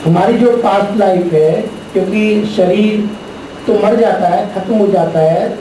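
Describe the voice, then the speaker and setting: A middle-aged man giving a spiritual lecture to a class, teaching deliberately and posing rhetorical questions. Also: high-pitched. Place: large echoey classroom. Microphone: distant room mic. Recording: very echoey, distant, noisy room recording.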